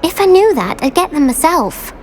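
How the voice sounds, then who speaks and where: Whiny eager british schoolgirl witch for a game, high voice, really swoopy and prim. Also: sing-song, normal speed. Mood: earnest, wheedling. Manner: theatrical, self-pitying, prim. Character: petulant, eager teenage witch schoolgirl with odd hobby. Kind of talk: video game cutscene acting. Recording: faint to clear background ambient noise.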